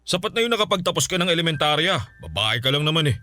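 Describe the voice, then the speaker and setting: Sharp-voiced, domineering uncle. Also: male voice, a little high, very animated, fast talker. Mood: dismissive, scolding. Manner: scolding, sneering. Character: domineering, scornful uncle, sexist and quick-tempered. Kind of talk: Filipino radio drama acting.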